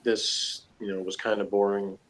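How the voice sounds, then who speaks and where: A man's voice: on the low side, flat delivery, normal speed, slight background noise, low-quality recording. A man speaking as a co-reviewer in a casual, conversational discussion.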